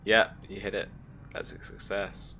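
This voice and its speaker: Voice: low-pitched, little pitch movement, measured pace, noisy background. A game master running a tabletop roleplaying game, informal in manner, narrating the action.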